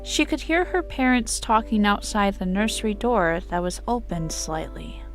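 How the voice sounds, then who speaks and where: A woman's voice: medium pitch, sing-song, normal speed. A woman narrator reading a children's-style story as a scripted dramatic reading. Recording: music under the voice.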